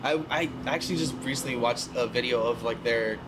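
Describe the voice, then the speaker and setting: A man's voice: medium pitch, brisk. Joking 30s guy from a band, cusses a lot, noisy background. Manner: joking, swears casually. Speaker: band member. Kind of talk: casual chat.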